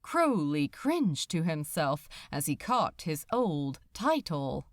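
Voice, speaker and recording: A woman narrating fiction from a script in an expressive, dramatic storytelling manner. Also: low-pitched, slow, dry recording, studio quality. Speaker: podfic narrator.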